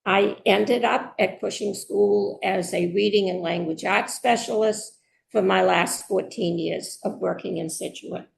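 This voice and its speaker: An older woman from Massachusetts introducing herself at a public meeting in a measured, deliberate way. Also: mid-range voice, steady tone, creaky, slight background noise, low-quality recording. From Belmont, Massachusetts, US. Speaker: retired teacher, committee applicant. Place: indoor public meeting room.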